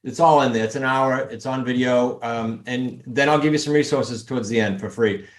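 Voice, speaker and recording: A man with a New England accent, a podcast guest talking energetically and laying out steps. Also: brisk. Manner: energetic, pitching steps and links. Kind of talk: casual interview talk. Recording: echoey room.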